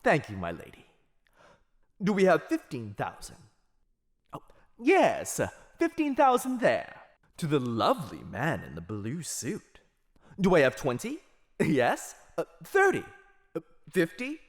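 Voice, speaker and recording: A man's voice: fairly high pitch, wildly swooping pitch, unhurried, pauses often. Man as a swooping, theatrical vampire auctioneer. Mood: showy, teasing. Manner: showy, courteous, swooping salesman's patter. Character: suave theatrical male vampire auctioneer, playful showman. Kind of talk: audio roleplay acting.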